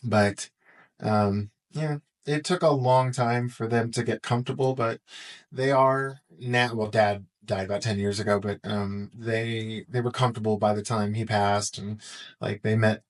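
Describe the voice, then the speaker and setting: A man's voice: fairly low pitch, fairly even intonation, measured pace. Middle-aged American man in casual conversation. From Texas, United States. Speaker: podcast guest, parenting podcaster.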